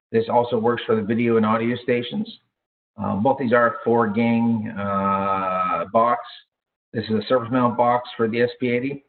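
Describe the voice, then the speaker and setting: A man giving informal product training in a halting way, with frequent hesitations and self-corrections. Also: mid-range voice. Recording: thin, phone-like narrow-band audio.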